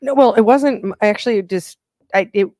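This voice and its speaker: A woman's voice: medium pitch, brisk, a little mellow, no room sound, clean studio sound. A woman co-hosting a live racing engine show, chatting casually and rambling a little as she greets viewers and reads out their comments. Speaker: co-host of a racing engine livestream. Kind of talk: casual chat.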